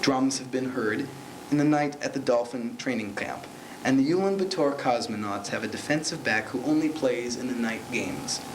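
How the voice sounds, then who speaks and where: A man acts out a hapless field correspondent in a comic newscast, filing a formal report with the odd stumble. His voice sits at a middling pitch, on a faintly noisy amateur recording. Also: normal speed, close to an everyday voice. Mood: earnest, grave. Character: hapless sports correspondent reporting nervously from hiding. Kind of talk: parody field news report.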